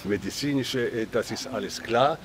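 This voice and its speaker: A man's voice: medium pitch, moderate pace. A man in his sixties giving an emphatic, repetitive opinion in a casual street interview. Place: outdoors on a city street. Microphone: handheld interviewer's mic. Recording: clear outdoor city noise.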